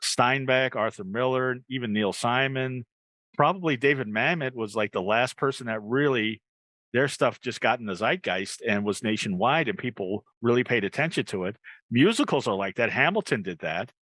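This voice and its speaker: A man's voice: medium pitch, animated, moderate pace, a bit bright, dry recording. A man hosting a podcast with casual banter, musing aloud and tossing in joking asides. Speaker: podcast host.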